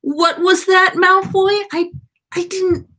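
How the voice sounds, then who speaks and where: A woman's voice: very high-pitched, level tone, slow pace, sharp tone, voice pushed up, full character voice. A woman voicing a teasing schoolboy in a story, mock-innocent as he holds back laughter. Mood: smirking.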